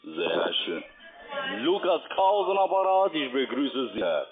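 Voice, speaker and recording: A man's voice: mid-range voice, huge pitch swings, measured pace, faint music behind. Non-native salesman on tinny phone. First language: not German. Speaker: cold-calling salesman. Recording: narrow tinny phone line.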